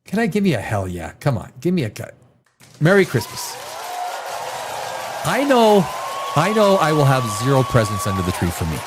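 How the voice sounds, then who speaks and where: A man's voice: medium pitch, huge pitch swings, moderate pace, pauses often, a crowd in the background, noisy background. Stuffed-up, chatty livestream host man. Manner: rambling, chatty, restarts and repeats himself. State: stuffed up from a cold. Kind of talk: casual chat reading viewer comments.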